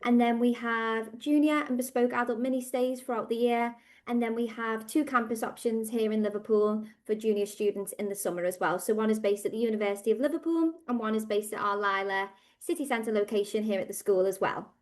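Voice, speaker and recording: Woman, Scouse accent, chatty and upbeat, fairly high voice, podcast guest. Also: fairly even intonation, brisk, hardly any pauses, darkish tone. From England. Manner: chatty, upbeat, repeats phrases. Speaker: language school managing director. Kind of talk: casual podcast interview.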